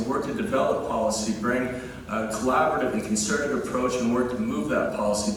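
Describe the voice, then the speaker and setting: A man's voice: medium pitch, a little hiss or hum. A young adult man, a candidate giving an earnest debate opening with frequent fillers. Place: debate hall. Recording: echoey hall from far off.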